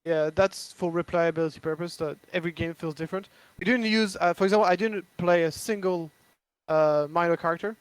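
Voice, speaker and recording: A man's voice: fairly high pitch, brisk, clear, resonant tone, dry recording. A man with a French accent, chatting casually about a board game he designed, hesitant with many restarts. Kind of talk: casual chat.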